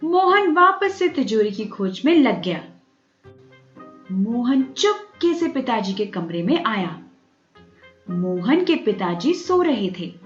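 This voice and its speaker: A woman narrating a scripted children's bedtime story in an expressive, measured way. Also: a little high, dull audio. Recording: roomy, dulled audio with background music. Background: music.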